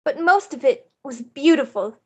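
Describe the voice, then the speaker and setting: A very high, girlish voice from a woman acting as a young farm girl in a screenplay reading. She is earnest and wide-eyed, pleading with tearful emphasis. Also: expressive, normal speed, a little mellow. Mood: tender, yearning. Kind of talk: screenplay table read.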